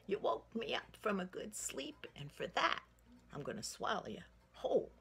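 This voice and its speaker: A woman's voice: medium pitch, very animated, moderate pace, creaky, a bit bright, breathy edge, in a whisper, voice pushed up, full character voice. A woman voicing a grumpy, sleepy bear who scolds and threatens children in a storybook tale. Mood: grumpy, menacing.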